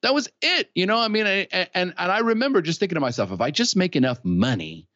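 A middle-aged man from California talks as a podcast guest, with a lively voice that swings up and down. He speaks frankly and laughs easily. The recording is dry and a bit dull. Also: high-pitched, quick pace, dry recording. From California, United States. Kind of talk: candid conversational storytelling. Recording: dull lo-fi sound.

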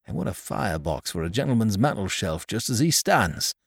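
A man plays a meek, dreamy old toymaker from a storybook, speaking softly in a raised, hushed voice. His tone has a gravelly texture. The recording is dry. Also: fairly low pitch, big pitch swings, brisk, no room sound. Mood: hushed wonder. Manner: soft and hushed.

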